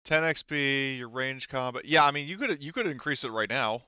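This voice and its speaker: A man's voice: medium pitch, lively intonation, moderate pace, bright, forceful tone, clear, resonant tone, no echo, lo-fi sound. A man speaking as game master in a casual, rambling way, explaining rules and describing scenes and often correcting himself.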